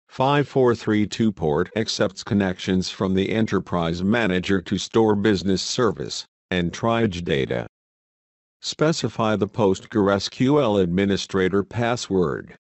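Low-pitched man narrating a tutorial, dry sound. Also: unhurried, dry recording. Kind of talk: scripted instructional narration.

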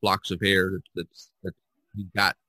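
A man hosting a podcast, talking casually about art in a rambling, halting way with frequent restarts. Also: deep voice, flat delivery, sharp tone, no echo. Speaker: podcast host discussing art. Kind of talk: casual conversational discussion.

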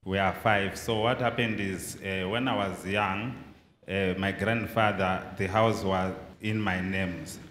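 Low-voiced young Zimbabwean man. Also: fairly even intonation, a little slow, fairly bright tone. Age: young adult. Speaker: congregant giving testimony. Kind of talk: halting personal account. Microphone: handheld mic held to his mouth. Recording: echoey hall with background noise.

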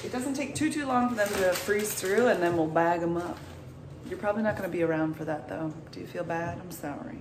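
A woman's voice: on the low side, a little fast. A woman in her thirties chatting to her viewers while she puts away groceries in her home kitchen, filmed on a phone. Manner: chatty, asks viewers questions. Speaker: vlogger. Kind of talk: casual chat to camera. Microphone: handheld phone, often set down at a distance. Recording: echoey kitchen, mic far off, noisy.

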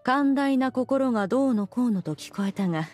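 A haughty ancient immortal lady in a fantasy game, with a fairly high voice and imperious, old-fashioned regal phrasing. Soft music plays behind her. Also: animated, moderate pace. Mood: curious. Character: proud, ancient immortal adeptus woman, haughty but fond. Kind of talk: dramatic game voice acting.

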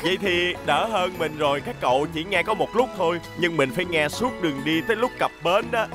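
An actor voicing a cheerful, warm-hearted talking boat in a children's cartoon dub, hearty and expressive. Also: medium pitch, brisk, lightly acted. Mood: amused. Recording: loud music behind.

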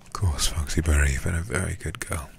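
A man livestreaming a game in a soft, soothing ASMR style, chatting casually and affectionately close to the microphone. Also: rumbling low, fast talker, gravelly, a little hiss or hum. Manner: soothing, affectionate, drops into whispers. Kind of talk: ASMR gaming stream, soft casual chat. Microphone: close streaming microphone.

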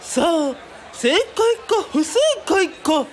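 A woman's voice: very high-pitched, animated, extremely slow, crowd noise, background noise, heavily acted. A woman playing a flamboyant, teasing male quiz show host in a radio drama, drawing out the suspense playfully. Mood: suspenseful. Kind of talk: theatrical game show presenting.

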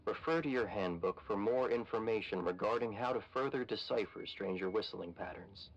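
A man's voice: on the low side, normal speed, lightly acted. A man playing a formal, matter-of-fact park ranger training narrator, reading scripted instructions. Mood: formal, impassive. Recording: dull lo-fi vintage training-tape sound. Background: music.

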